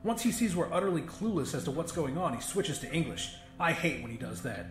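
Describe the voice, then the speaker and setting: A man's voice: fairly high pitch, sing-song, a little fast. A man narrating a scripted first-person horror comedy in a conversational, sweary storytelling manner. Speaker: audiobook narrator. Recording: roomy echo with music behind. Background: music.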